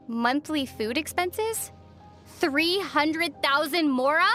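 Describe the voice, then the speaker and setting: Young adventurer girl from a game, high lively voice, kinda teasing, faint music under it. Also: slow pace, full character voice. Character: young female adventurer, gentle, teasing, fond of companion. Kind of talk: video game character dialogue.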